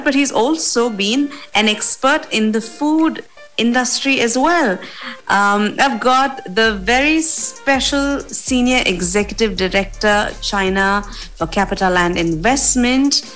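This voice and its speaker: A woman's voice: fairly high pitch, animated, measured pace, fairly bright tone, faint music behind. A woman hosting a weekly interview show. She opens with an upbeat, polished welcome. Then she leads the guest through questions in a friendly tone. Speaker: podcast host.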